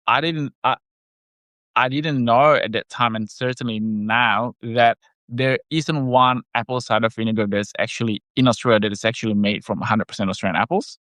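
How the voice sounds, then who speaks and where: A man whose first language is Indonesian talks through health topics in an easygoing podcast chat. His delivery is measured and explanatory, broken by fillers and pauses. He sits close to the mic in a dry, dead room at a middle pitch. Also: fairly bright tone, no echo. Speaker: health product maker and podcast co-host.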